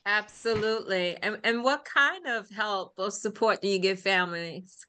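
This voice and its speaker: A woman's voice: medium pitch, normal speed, sharp tone, slight background noise. A woman from Brooklyn hosting a faith-based podcast conversation, affirming her guest as she talks. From Brooklyn, New York. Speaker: podcast host.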